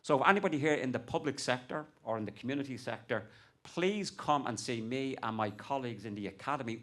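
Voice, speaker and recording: A man who works as an academic, speaking on a conference panel in an impassioned, rhetorical and urging way. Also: medium pitch. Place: conference hall.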